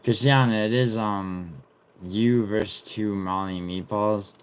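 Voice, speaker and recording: A man's voice: fairly low pitch, steady tone, takes it slowly, a little hiss or hum. A young man hosting a casual livestream, rambling, swearing and reading viewer chat aloud. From born in Italy, raised in New York City. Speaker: livestream host.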